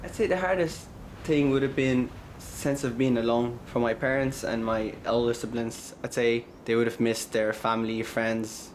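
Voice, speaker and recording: A man's voice: mid-range voice, steady tone, moderate pace, a little hiss or hum, low-quality recording. A young man from Eritrea reflecting on his experience in a documentary interview. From Eritrea, later Ireland. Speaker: documentary interviewee, former resident.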